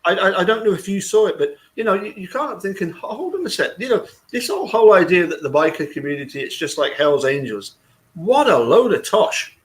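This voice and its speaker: A man's voice: high voice, big pitch swings, brisk. A man hosting a live chat, rambling casually with many repeated words and frequent chuckles. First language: English.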